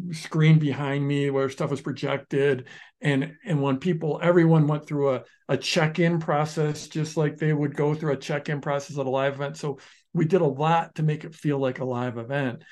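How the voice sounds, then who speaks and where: A man co-hosting a business podcast, telling stories in a lively, restless way and restarting his sentences often. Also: on the high side. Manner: animated storyteller, restarts sentences, shifts pace often. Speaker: podcast co-host, online business founder. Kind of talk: casual podcast conversation.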